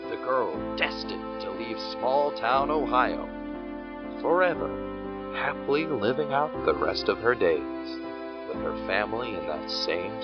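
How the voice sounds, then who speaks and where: A man narrating a scripted audio drama story. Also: on the low side. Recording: dull lo-fi recording under loud music. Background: loud guitar music.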